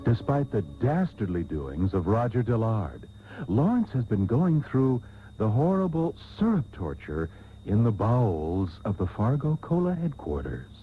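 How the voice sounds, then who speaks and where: Tinny radio tape, music behind, grandiose serial narrator, mock-solemn, hugely swooping delivery. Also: male voice, on the low side, sing-song, a little slow. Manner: mock-solemn, florid, dramatic. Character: grandiose male soap opera narrator.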